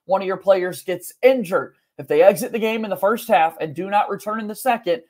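A man's voice: high voice, brisk, darkish tone. A young man hosting a sports podcast in a conversational way, recorded in a room at home. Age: young adult. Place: small home room, indoors.

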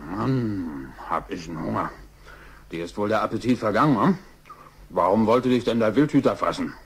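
A man's voice: fairly low pitch, expressive, moderate pace, breathy edge, exaggerated voice. An actor playing a grumbling male sidekick of a lion trapper in a children's audio drama.

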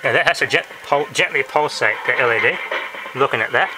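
A man giving a casual hands-on electronics demonstration, talking haltingly as he thinks aloud. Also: medium pitch, over music. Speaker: hobbyist presenter. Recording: noisy amateur handheld camera audio with clicks.

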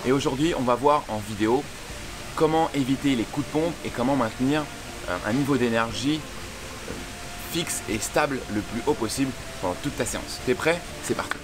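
A young man hosting a swimming tutorial, speaking directly and informally to the viewer. He sits outdoors by a pool with a camera framing him at chest height. A steady hiss sits under his voice. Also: medium pitch, fairly quick. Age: young adult. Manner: addresses viewer informally, clear explanatory delivery. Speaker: swim coach and channel host.

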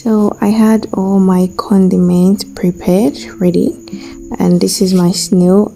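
A woman's voice: lowish voice, gravelly. A young woman from Nigeria giving a chatty voice-over of her own cooking as a food vlogger, repeating her words and now and then giggling. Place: home kitchen for the intro.

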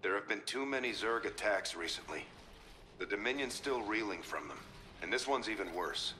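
Forceful, commanding male admiral. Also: lowish voice, expressive, normal speed, a little hiss or hum. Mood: concerned. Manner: formal, decisive. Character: brisk, commanding male admiral giving battle orders. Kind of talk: video game briefing.

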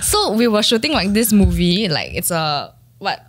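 A woman's voice: medium pitch, moderate pace, husky. A young Singaporean woman in her twenties, a guest actress chatting casually on a studio podcast, laughing often. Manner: chatty, laughs often, animated hand gestures. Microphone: desk mic on stand.

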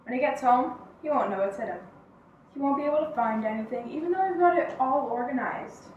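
An actress playing a plain-spoken woman reminiscing, in a very echoey room some way from the mic. She has a slightly high voice and tells her story in a wry, conversational, reflective manner, as a stage monologue. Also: animated, brisk, a little mellow, muffled recording. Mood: wry, chatty. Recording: very echoey room, distant mic, faint noise.

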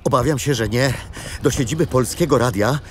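A man's voice: mid-range voice, brisk, a little hiss or hum, lightly acted. An actor playing a kindly grandfather who explains history to children in an audio drama. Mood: calm explaining. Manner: explanatory, storytelling. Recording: loud music behind.

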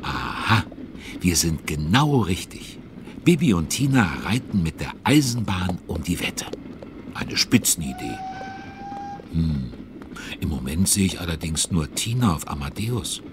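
A man's voice: low-pitched, big pitch swings, unhurried, noisy background. A man playing a chatty, curious narrator in a children's radio play. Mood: amused, curious. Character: chatty, curious male storyteller commenting on the action.

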